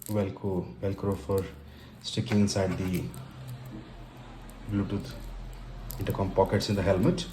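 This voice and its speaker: A man with an Indian accent narrating an unboxing casually and unscripted, in halting phrases broken by pauses. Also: low voice, little pitch movement. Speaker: tech and motorcycle vlogger. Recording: roomy echo with faint background noise.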